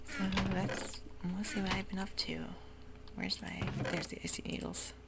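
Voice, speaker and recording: A woman giving soft-spoken, whispery commentary while she plays a computer game, with frequent ums. Keyboard typing can be heard close to the mic. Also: mid-range voice, expressive, moderate pace, creaky. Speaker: ASMR gaming creator. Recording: noisy home recording.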